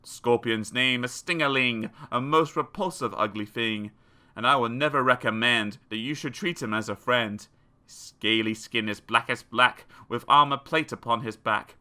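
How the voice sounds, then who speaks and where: A man narrating children's comic poems in a theatrical, expressive storytelling style. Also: medium pitch, moderate pace. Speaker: audiobook narrator. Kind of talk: dramatic children's poetry reading.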